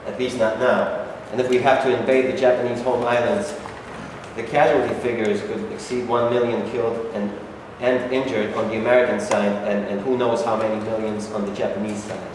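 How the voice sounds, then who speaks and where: A man's voice: lowish voice, flat delivery, fairly quick, lightly acted. Guy playing insistent military adviser in a stage play, mid voice, distant, lots of room noise. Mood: insistent, cautioning. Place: stage reading in a hall. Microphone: far camera microphone. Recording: echoey hall, distant camera, noisy.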